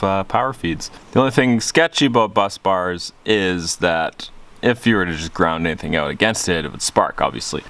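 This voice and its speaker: A man's voice: lowish voice, fairly bright tone, a little hiss or hum. A man giving a casual, rambling hands-on walkthrough as a vlogger, explaining things informally with plenty of slang. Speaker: vlogger showing his truck radio install. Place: in and around a parked truck.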